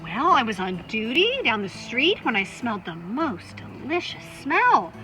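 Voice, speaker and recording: A woman's voice: on the high side, very animated, a little slow, bright, forceful tone, faint music behind, heavily acted, rough recording. A woman voicing a friendly, hungry policewoman in a children's storybook, with a folksy, savoring delivery. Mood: appreciative.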